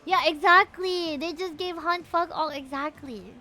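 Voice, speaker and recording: A woman's voice: very high-pitched, a little slow, pushed and bright. A woman chatting casually on a game livestream in a gushing, informal way. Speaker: game streamer. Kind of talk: casual livestream commentary and chat. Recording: music behind the voice. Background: game music.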